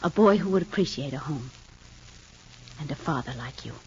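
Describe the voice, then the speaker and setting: A dull, noisy old radio recording of a gentle wife in a 1950s soap opera. She speaks in a lowish woman's voice, hesitant and earnest. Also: expressive, moderate pace. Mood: hesitant, wistful. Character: gentle, hesitant wife hoping to adopt an older child.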